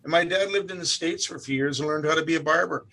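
A man's voice: a little high, quick pace. Older Canadian man, rambling storyteller. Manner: rambling, repeats words, animated when quoting. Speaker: guest musician. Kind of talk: relaxed storytelling.

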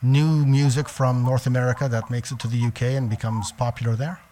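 A man hosting a radio interview, asking measured questions with hesitant pauses. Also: mid-range voice, little pitch movement, warm tone. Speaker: radio show host interviewer.

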